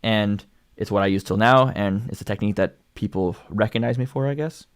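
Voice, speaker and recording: A man's voice: lowish voice, brisk, clear, resonant tone. A young man with a Canadian accent, a singer chatting casually about his own vocal progress, rambling with many fillers. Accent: Canadian English. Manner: rambling and filler-heavy, lots of likes and ums. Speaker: singer and channel host. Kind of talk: casual livestream commentary.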